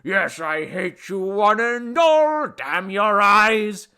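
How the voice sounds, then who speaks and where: A man voicing a tipsy, boastful old Babylonian idol god from a story, with a slurred, booming, folksy drawl. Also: sing-song, slow pace, fairly bright tone, heavily acted. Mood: drunken singing.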